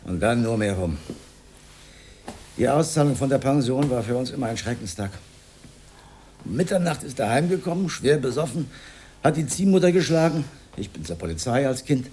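An actor playing a rambling, pedantic old socialist freethinker with an Austrian accent, in a theatrical radio drama style. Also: male voice, mid-range voice, sing-song, moderate pace, long pauses, dark tone, slight background noise, lightly acted. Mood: bitter reminiscence. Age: older. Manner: rhetorical, declamatory, given to rambling reminiscence.

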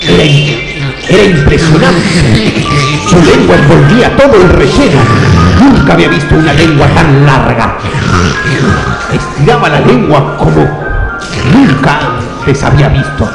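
Guy playing an easygoing argentine dude telling his story, creaky, loud music behind. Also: fairly high pitch, expressive, measured pace, long pauses, roomy sound, long reverb tail, low-quality recording. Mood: wonderstruck. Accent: Argentine, Rioplatense. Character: Francisco, easygoing local guy narrating his own absurd romance. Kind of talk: comic radio play storytelling.

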